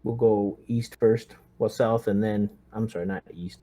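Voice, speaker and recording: Male tabletop player, slightly low voice, relaxed gamer talk. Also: a little slow. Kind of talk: casual planning and chat.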